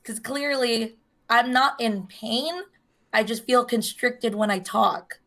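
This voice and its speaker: Hoarse woman telling a chatty story. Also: on the high side, takes it slowly, fairly bright tone. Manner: chatty storyteller, acts out quoted voices. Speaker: podcast co-host. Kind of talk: casual chat.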